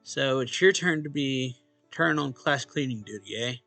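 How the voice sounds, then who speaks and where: A man's voice: mid-range voice, a little slow, pushed and bright. A man reading a visual novel's narration and dialogue aloud expressively, as a let's play host. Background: game music.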